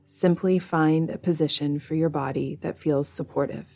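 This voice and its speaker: A woman leading a guided meditation in a soothing, measured way, leaving long pauses between phrases. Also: deep voice, steady tone, a little mellow, faint music behind. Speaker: meditation guide, podcast host.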